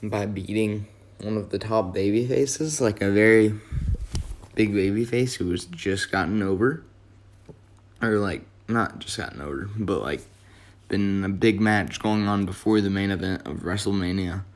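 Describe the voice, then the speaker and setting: A hoarse young man, his voice worn from yelling, rambling casually through a wrestling talk with many fillers and self-corrections. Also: on the low side, moderate pace. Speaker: wrestling video host. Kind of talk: casual fantasy-booking commentary.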